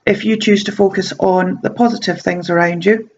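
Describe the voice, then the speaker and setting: A woman's voice: medium pitch, fairly even intonation, moderate pace, darkish tone, highs cut off, slight background noise. A woman with a slight sore throat giving casual self-help advice, chatty and meandering with frequent ums and restarts. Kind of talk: casual talking-to-camera advice.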